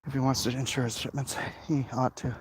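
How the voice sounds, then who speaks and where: A man's voice: medium pitch, little pitch movement, fairly quick. A man livestreaming casually to viewers on his phone while walking outdoors, rambling and emphatic. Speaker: livestream host, comic artist. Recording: noisy outdoor walking recording.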